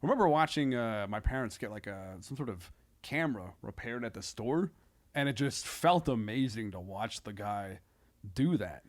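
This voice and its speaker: A man with a medium-pitched voice chats casually as a podcast guest. He talks in a halting, self-interrupting way, circling back on his phrases with a comedian's loose, joking informality. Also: lively intonation.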